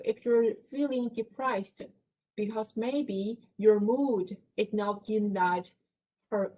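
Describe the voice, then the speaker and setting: A woman's voice: medium pitch, mellow, low-quality recording. A woman from China with a Chinese accent, giving a talk at a library book event; she explains concepts step by step, checks in with her listeners and laughs lightly. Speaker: guest lecturer.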